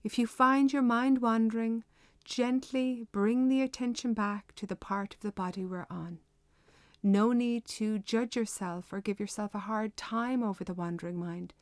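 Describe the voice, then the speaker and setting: A woman leading a guided meditation with measured, unhurried, gently instructive delivery. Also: fairly high pitch, steady tone, no echo, clean studio sound.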